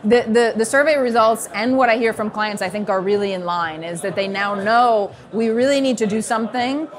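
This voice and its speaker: A middle-aged woman from New York with an American accent, talking as an interview guest in a quick, fluent way, with many fillers and restarts. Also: on the high side, a crowd in the background. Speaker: strategy consultant, interview guest. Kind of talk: conversational interview. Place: indoor event booth, seated on sofa.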